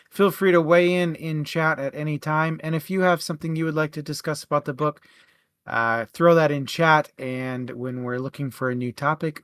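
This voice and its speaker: A young man co-hosting a casual book discussion show, welcoming viewers in a relaxed way and laughing easily. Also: on the high side, vocal fry, no room sound. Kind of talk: casual chat.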